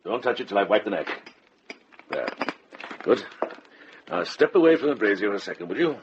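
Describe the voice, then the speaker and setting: An actor playing a wise older monk herbalist in a radio drama, measured, practical and gently admonishing. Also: male voice, fairly low pitch, very animated. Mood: brisk, careful. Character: wise older Welsh monk herbalist, kindly but brisk. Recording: dull, hissy radio drama recording.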